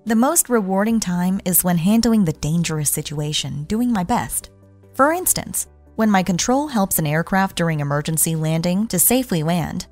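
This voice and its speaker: A woman reading scripted explainer narration in a measured, instructional way. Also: lowish voice. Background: background music.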